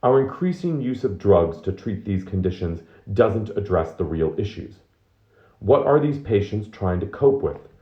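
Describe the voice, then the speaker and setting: A man's voice: fairly low pitch, sing-song, measured pace, dull audio. A man reading nonfiction aloud as narration, in a roomy, echoing recording. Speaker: reader of a book excerpt.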